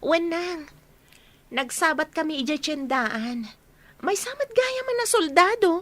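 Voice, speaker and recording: A woman's voice: high voice, lively intonation, unhurried, a bit bright, no room sound. A woman playing a chatty, teasing young girl in radio drama dialogue. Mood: excited, chatty.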